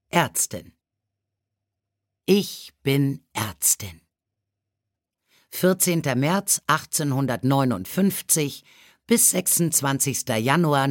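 A woman's voice: extremely low pitch, no echo, clean studio sound. A woman reading a scripted biographical story as an audiobook narrator.

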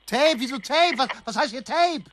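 An actor in a radio drama playing a gullible, stubborn husband who talks in an indignant, stammering way. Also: male voice, very high voice, animated, moderate pace, a bit bright, a little hiss or hum. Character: agitated, gullible, stubborn husband caught in a money scam. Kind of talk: naturalistic radio drama acting.

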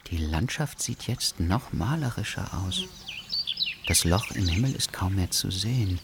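A man's voice: fairly low pitch, creaky, heavy background noise. An actor playing an exasperated, bewildered man caught in absurd events in a satirical radio play.

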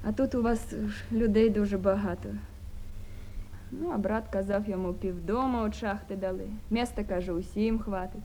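A woman's voice: medium pitch, steady tone, moderate pace, dark tone, a little hiss or hum, close to an everyday voice. An actress playing a poor, anxious village mother with a Ukrainian accent, rambling and plaintive in a naturalistic radio drama. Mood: plaintive, apologetic.

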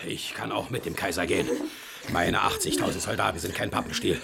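An actor playing a raging, brutal Prussian king who shouts and mocks his wife, in a 1970s radio play with voices behind. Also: low-pitched, fairly even intonation, fairly quick, gravelly, slight background noise, full character voice. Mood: furious. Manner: bellowing, mocking, shouting insults.

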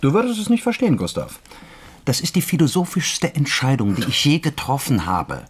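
A man's voice: mid-range voice, huge pitch swings, normal speed, slight background noise. An actor playing a stubborn, principled male philosophy student in a radio drama, formal and resolute. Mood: defensive, stubborn.

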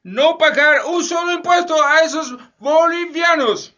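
An indignant, bossy bourgeois gentleman played by a man in a radio play, very high-pitched and sharp. He exclaims theatrically, on a dull recording with faint music underneath. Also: a little slow, heavily acted. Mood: outraged, commanding. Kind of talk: Spanish-language radio theatre acting.

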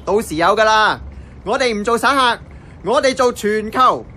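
High, animated Cantonese cafe boss. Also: male voice, normal speed. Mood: earnest. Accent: Hong Kong Cantonese. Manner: animated, persuasive. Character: earnest, scheming small tea-restaurant boss rallying his staff. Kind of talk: radio drama dialogue.

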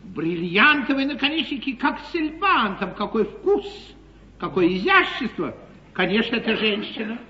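An actor playing a suave, scheming English lord in a classical stage comedy, courtly, ironic and declamatory. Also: male voice, sits high, big pitch swings, normal speed. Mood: eager. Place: theatre stage before an audience. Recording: dull, noisy 1953 theatre stage recording.

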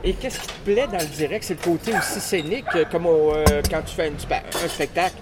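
A man's voice: fairly high pitch, fast, very noisy. A middle-aged man with a Quebec French accent hosting a radio interview, asking long rambling questions with fillers. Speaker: radio show host, interviewer. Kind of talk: casual interview.

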